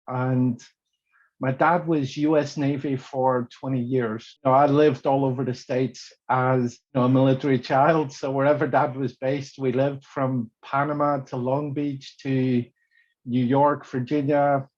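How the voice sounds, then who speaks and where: A man's voice: mid-range voice, flat delivery, unhurried, darkish tone, highs cut off. A middle-aged man, a mentor on a panel, telling his story in an easygoing, sociable way. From Northern Ireland. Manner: easygoing, sociable, fillers like "you know".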